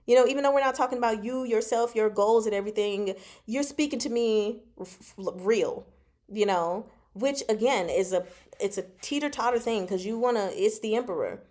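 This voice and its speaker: A woman casually recapping and commenting on a novel as host, in an animated, slangy, emphatic way, acting out dialogue in her own voice and checking in with little tags like "okay?" and "right?". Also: on the high side, moderate pace. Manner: punctuates points with "okay?" and "right?". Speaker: host of a book discussion.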